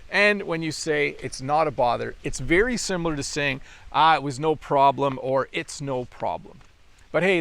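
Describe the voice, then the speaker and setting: Canadian man, middle-aged, English teacher, gravelly voice, slightly high pitch, easygoing explanations. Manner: relaxed, friendly explaining with casual fillers. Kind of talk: English lesson.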